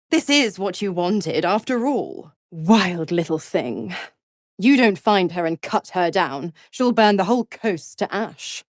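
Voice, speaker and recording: A woman's voice: lowish voice, no room sound, clean studio sound. A woman playing a mocking, scheming female devil in a video game, theatrical, teasing and condescending. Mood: teasing, amused. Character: seductive, mocking, scheming female devil, smugly cruel patron. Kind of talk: video game character acting.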